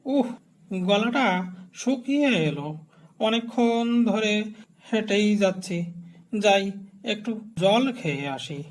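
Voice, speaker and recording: Guy voicing poor proud brahmin man in a cartoon, stubborn, preachy halting delivery, bit of music behind. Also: high-pitched, expressive. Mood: weary, puzzled. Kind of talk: cartoon dialogue.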